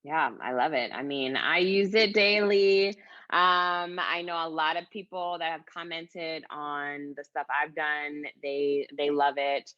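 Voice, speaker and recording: A woman's voice: sits low, fairly even intonation, slow, fairly bright tone, dry recording, highs cut off. A woman hosting a casual interview, chatty and full of fillers. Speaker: interviewer host.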